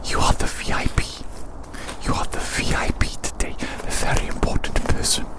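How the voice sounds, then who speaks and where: An ASMR host whispers a playful, soothing, cutesy ramble outdoors in the woods. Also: moderate pace.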